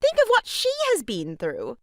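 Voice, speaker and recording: Woman acting an arch, high, swooping young lady. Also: wildly swooping pitch, moderate pace, no echo, clean studio sound. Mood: mock-solemn reproach. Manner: theatrical, pompous scolding. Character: arch, mock-pious young sister-in-law, teasing and grandly reproving. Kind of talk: comic stage farce acting.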